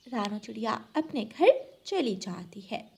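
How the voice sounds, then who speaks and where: A woman's voice: fairly high pitch, very animated, measured pace, heavy background noise. A woman narrating a scripted children's story as its narrator.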